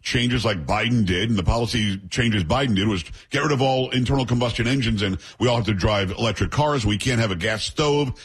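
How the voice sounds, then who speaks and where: A man from New York who grew up in Florida, hosting a talk radio show and pressing his guest with animated, pushy questions. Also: mid-range voice, slightly rough. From New York, raised in Florida.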